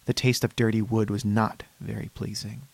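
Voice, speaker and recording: A man performing a horror story as an eerily calm, dreamy patient, measured and with dramatic pauses. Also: on the low side, creaky, no room sound. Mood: eerie, dreamy. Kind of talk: scripted horror story narration.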